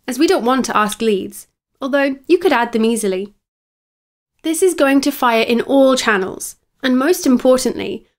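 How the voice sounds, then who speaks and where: A woman presenting a software tutorial in scripted instructional narration, explaining clearly step by step. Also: on the high side.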